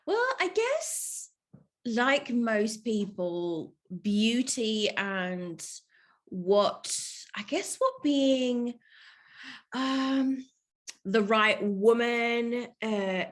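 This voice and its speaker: Young London woman guest, mid-pitch, hesitant and reflective, filler-heavy. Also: fairly bright tone. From England, London. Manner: thoughtful, hesitant, many fillers, laughs often. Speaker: podcast guest, yoga teacher. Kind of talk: casual interview chat.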